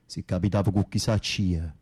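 An actor playing a tough, touchy young Camorra gangster in a radio drama, gruff, blunt and boastful, with a Neapolitan accent. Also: male voice, sits low, steady tone, normal speed, darkish tone. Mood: irritated, proud.

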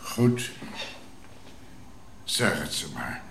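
A commanding king in a radio drama, grave and measured. His low-set voice moves widely in pitch and takes its time. It sounds roomy. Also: sing-song, fairly bright tone, full character voice. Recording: roomy sound with faint noise.

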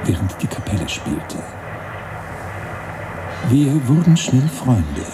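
An actor playing a wistful, romantic writer-narrator in a literary radio drama, musing deliberately in short phrases. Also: male voice, medium pitch, big pitch swings, noisy background. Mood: wistful, nostalgic. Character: wistful romantic male narrator-writer recalling his emigration voyage. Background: music.

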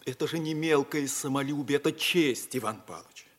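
Actor as proud teenage schoolboy, husky voice. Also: male voice, medium pitch, brisk, lightly acted. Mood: defiant, indignant. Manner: blunt, defiant, earnest. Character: proud, stubborn, hot-tempered teenage schoolboy. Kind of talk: mid-century Soviet stage acting for radio. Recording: old radio play, music behind.

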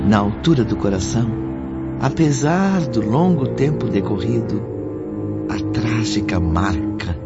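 Solemn brazilian radio drama storyteller, slow n deliberate, lowish voice, muffled w music. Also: male voice. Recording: dull lo-fi audio under music. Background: background music.

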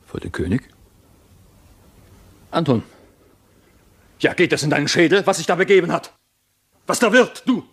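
Imperious, slightly husky castle lord. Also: male voice, fairly high pitch, expressive, normal speed, pauses often. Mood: agitated disbelief. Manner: imperious, theatrical, swelling from hushed to commanding. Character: proud, ambitious, agitated lord of a castle. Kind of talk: theatrical radio drama acting.

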